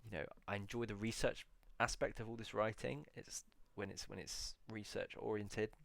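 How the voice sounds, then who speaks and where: A man in a casual solo video, musing aloud as he reads out old titles slowly, with many pauses and fillers. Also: fairly low pitch, steady tone, creaky, fairly bright tone, no echo. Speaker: video blogger.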